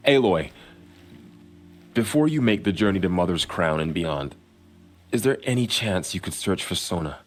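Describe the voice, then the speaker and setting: A grave, deep-voiced warrior. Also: male voice, expressive, moderate pace, pauses often. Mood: solemn. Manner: solemn and earnest. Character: grave young warrior guarding a gate. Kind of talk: video game cutscene acting.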